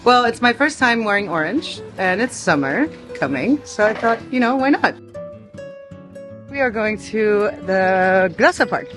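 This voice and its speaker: A woman's voice: mid-range voice, animated, a little slow, a bit bright, soft background music. A woman from France, a DJ and singer, talking easily in a casual interview and laughing often. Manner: easygoing, chatty, laughs often. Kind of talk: casual chat.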